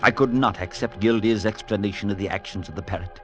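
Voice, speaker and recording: A man playing a deep-voiced, earnest priest. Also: a bit bright, dull audio, soft background music, close to an everyday voice. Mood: solemn. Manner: measured storytelling, anxious in dialogue. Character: male priest, earnest and skeptical. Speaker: priest and narrator. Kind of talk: 1950s radio drama narration.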